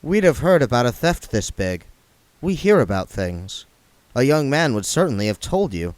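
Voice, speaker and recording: Man reading a calm, insistent young reporter. Close to his own voice. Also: mid-range voice, moderate pace, no room sound, close to an everyday voice, rough recording. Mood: firm. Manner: calm, firm.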